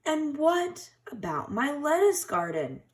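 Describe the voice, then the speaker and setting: A woman's voice: sits high, very animated, takes it slowly, voice pushed up, heavily acted. A woman voicing an anxious, eager little male hedgehog for a children's storybook. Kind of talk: children's storybook read-aloud.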